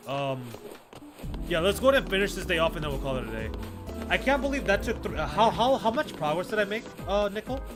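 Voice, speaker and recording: Male streamer, slightly high voice, slangy off-the-cuff game commentary over clear background music. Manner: slangy, repetitive, thinking aloud. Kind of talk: casual livestream commentary, chatting with viewers.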